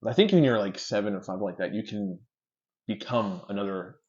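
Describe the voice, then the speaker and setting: A man from Canada, a guest in a casual interview, telling stories in an animated way and restarting his words often. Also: fairly low pitch, a little fast. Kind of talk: casual chat, storytelling. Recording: dull lo-fi sound.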